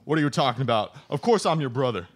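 Young man acting earnest brother, animated pitch. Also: fast, dry recording, lightly acted. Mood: anxious reassurance. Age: young adult. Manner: earnest, dramatic. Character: reassuring brother at hospital bedside, secretly controlling. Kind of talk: student radio theatre trailer parody. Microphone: microphone on stand.

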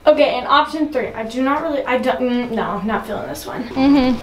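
A teenage girl vlogging to a handheld camera held close to her face, chatting in quick, bubbly bursts at home, in a car and at a mall. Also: sits high, slight background noise, rough recording. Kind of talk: casual vlog talk to camera.

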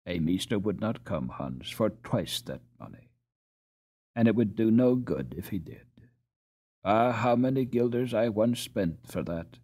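A man's voice: lowish voice, very animated, a little slow, warm tone, breathy edge, heavily acted. Man voicing a breathless, bustling storybook mother. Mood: resigned, tender. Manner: breathless, emphatic, run-on storytelling. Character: bustling, talkative Dutch mother telling a pious tale. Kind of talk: audiobook character reading.

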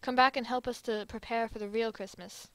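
Earnest, formal young anime gentleman, played by a woman, high register, very dry close recording. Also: steady tone, brisk, no echo, lightly acted. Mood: earnest pleading. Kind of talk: amateur fan dub roleplay.

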